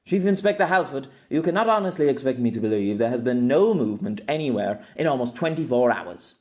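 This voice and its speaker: Audiobook official, played by a man: stern woman doctor, formal, commanding, widely varied pitch. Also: expressive, fairly quick, a little mellow, lightly acted. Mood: impatient. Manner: authoritative, impatient, formal.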